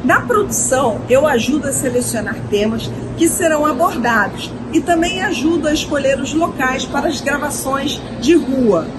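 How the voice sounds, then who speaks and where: A woman's voice: high-pitched, animated, moderate pace, hardly any pauses. A woman from a production team, explaining her work. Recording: clear traffic-like background noise.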